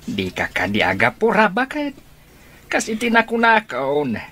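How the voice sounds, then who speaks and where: A man's voice: high-pitched, huge pitch swings, measured pace, bright, forceful tone, slight background noise, heavily acted. An actor playing a grumbling old father and husband in a radio comedy drama, drawling his replies.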